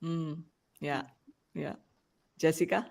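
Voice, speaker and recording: A podcast host running a rapid-fire question round and affirming each answer in an encouraging way. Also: mid-range voice, steady tone, gravelly.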